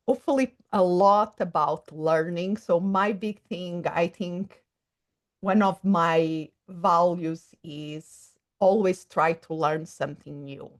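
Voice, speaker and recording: A middle-aged woman with a Portuguese accent speaks in a low register. She explains ideas thoughtfully and searches for words, with frequent small pauses and fillers. The talk is a relaxed interview. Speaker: guest psychologist and coach. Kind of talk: casual interview conversation.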